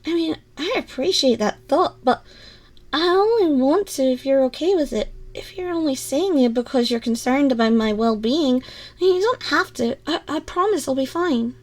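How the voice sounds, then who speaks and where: Woman voicing a timid, high-pitched tiny haggis girl. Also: animated, moderate pace. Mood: hesitant, touched. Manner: hesitant, stammering, pleading. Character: tiny furry haggis girl, timid, apologetic, anxious scavenger. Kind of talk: ASMR roleplay acting, addressing the listener.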